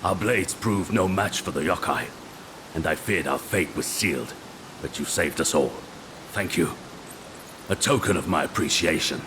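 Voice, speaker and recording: An actor playing a humbled, honourable samurai castle lord in a video game cutscene. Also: male voice, normal speed, close to an everyday voice. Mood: grateful, solemn. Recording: noisy rumble behind the voice.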